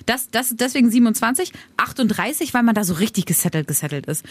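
A woman's voice: a little high, animated, dry recording. A woman in her thirties, a radio host, talking emphatically in casual podcast chat. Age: 30s. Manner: quick, emphatic, repeats words.

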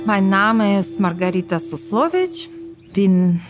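Slow, hesitant woman from Ukraine. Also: medium pitch, big pitch swings, soft background music. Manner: hesitant, searching for words. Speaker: interviewee, social worker. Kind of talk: personal interview account.

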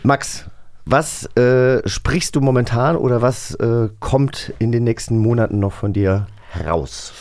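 A man's voice: medium pitch, fairly even intonation, measured pace, darkish tone, dry recording. A man hosting a casual interview podcast in an informal, conversational style. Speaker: podcast host.

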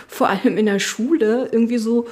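A woman's voice: fairly high pitch, fairly even intonation, normal speed, a little mellow. A middle-aged woman, a politician, talking casually in an interview, thinking aloud with many fillers and restarts. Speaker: politician, spokesperson for family and education. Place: her constituency office.

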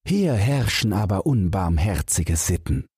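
A man's voice: low-pitched, sing-song, unhurried, darkish tone, husky, no room sound, clean studio sound, exaggerated voice. A man voicing a noble, earnest young prince in a fairy tale. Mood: earnest, resolute.

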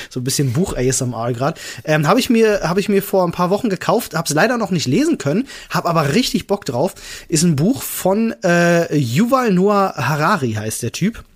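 A man's voice: sits high, big pitch swings, normal speed, husky. A man co-hosting a podcast in casual chat, talking enthusiastically and leaning on emphatic, repeated intensifiers. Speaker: podcast co-host.

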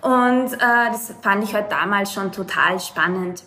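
A young woman from Lower Austria with an Austrian accent, telling her story as a podcast guest over a video call, with many hesitations. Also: measured pace, pushed and bright. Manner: hesitant, many filler sounds. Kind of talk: conversational storytelling.

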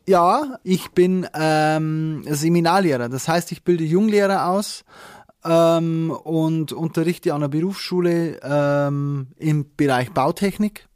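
A man with a dialect chats on a podcast in a fairly high voice, cracking jokes and laughing along. The sound is close and dry, with hardly any room around him. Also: slow pace, darkish tone, dry recording. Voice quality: speaks with a regional dialect. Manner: jokey, laughs often, chatty with filler sounds. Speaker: podcast co-host, wedding speaker and teacher. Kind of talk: casual podcast chat.

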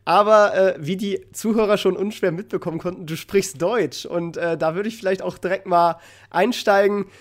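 A man's voice: sits high, moderate pace. A man hosting a podcast interview, asking questions in a friendly way with frequent fillers. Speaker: podcast host. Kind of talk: casual interview.

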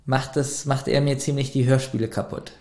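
A man's voice: medium pitch, normal speed, echoey room. A man co-hosting a podcast, chatting casually and arguing animatedly while reviewing an audio drama. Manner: animated, argumentative, laughs often. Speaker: podcast co-host.

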